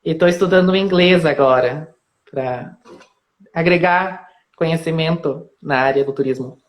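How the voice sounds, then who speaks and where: Local guy guide from southern brazil, calm and agreeable, talking over a video call. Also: fairly high pitch, unhurried, dull audio. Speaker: tour guide and guest. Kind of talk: casual live-stream interview.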